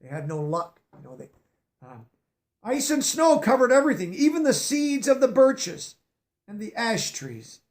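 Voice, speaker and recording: A man with a notably high voice reads a children's picture book aloud. He gives the story gentle expression and pauses to explain a word or two for young listeners. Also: very animated. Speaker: storybook reader.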